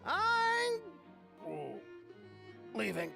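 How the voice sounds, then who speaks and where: Tipsy, high-pitched rambler. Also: male voice, huge pitch swings, extremely slow, gravelly, pushed and bright, heavily acted. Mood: drunk, alarmed. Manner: slurred, rambling, drunken. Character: tipsy, nervous, gossipy man in his thirties. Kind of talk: video game voice acting. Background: game music.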